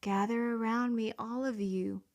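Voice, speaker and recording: A woman's voice: fairly high pitch, steady tone, measured pace, darkish tone. A woman reading a devotional prayer in an earnest, pleading way, some words trailing off into a whisper.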